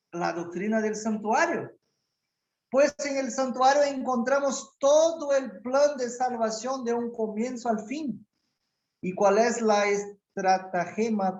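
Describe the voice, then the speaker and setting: A native Portuguese-speaking male pastor delivers a sermon at an unhurried, deliberate pace, circling back and restarting phrases as he goes. His voice sits at a middle pitch. It rings out in an echoey church hall. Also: a little mellow, lo-fi sound. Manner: slow, measured preaching with many restarts.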